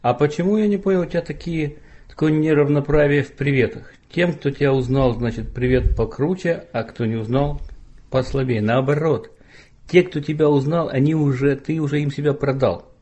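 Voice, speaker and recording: A man hosting a podcast from abroad, chatting in a relaxed, teasing way with a remote guest and asking questions. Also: on the high side, moderate pace. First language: Russian. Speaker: podcast host. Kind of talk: casual podcast conversation. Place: home studio, remote call.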